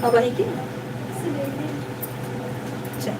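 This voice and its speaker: A woman hosting a casual live stream, chatting repetitively with viewers and addressing them as sisters. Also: very slow. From Deoria district, India. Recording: distant mic, noisy background.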